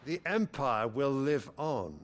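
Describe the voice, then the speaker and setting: An actor playing a cold, ruthless, imperious emperor in a video game, speaking in measured, formal, regal phrases. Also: male voice, mid-range voice, very animated.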